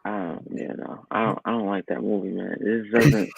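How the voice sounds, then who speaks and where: A man's voice: lowish voice, fairly even intonation, moderate pace, creaky, slightly rough, no echo. A man co-hosting a pop culture podcast in casual, blunt, opinionated talk, heard through thin, phone-like audio. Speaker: podcast co-host. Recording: thin phone-like band-limited sound.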